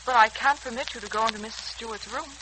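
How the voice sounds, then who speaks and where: An actress playing a dutiful young nurse, formal and firm, in a 1940s-style radio serial. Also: female voice, a little high, fast talker, lightly acted. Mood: polite, firm. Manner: formal, polite but firm. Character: dutiful, steadfast young nurse standing her ground. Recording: old radio recording, faint hiss.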